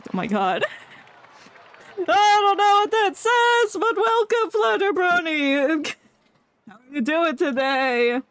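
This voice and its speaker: A woman's voice: very high-pitched, very animated, slow, a bit bright, no echo. A woman streaming a game, giving casual, sarcastic and jokey live commentary. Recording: game music behind the voice.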